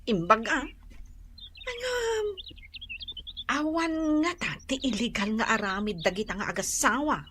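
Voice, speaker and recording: Actress doing a gossipy envious neighbor woman, high voice, lively up-and-down pitch, complaining, radio drama. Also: slight background noise. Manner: complaining, gossipy, quick retorts.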